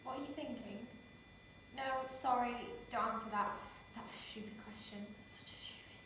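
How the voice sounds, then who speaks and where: A woman's voice: fairly high pitch, normal speed, a bit bright, slight background noise. A woman playing an insecure, anxious woman who talks too much, rambling and cutting herself off in a stage monologue. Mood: nervous. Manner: rambling, self-interrupting, defensive outbursts. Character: anxious overtalking woman Leah, insecure and defensive. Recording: echoey room from far off.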